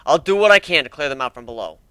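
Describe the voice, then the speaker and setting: Man acting a sardonic storybook warrior captain, raised pitch, forceful, commanding, dry sound. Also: lively intonation, fast talker, clear, resonant tone, dry recording. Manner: commanding, mocking, shouts orders. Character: brash, sardonic male warrior leader in fantasy story.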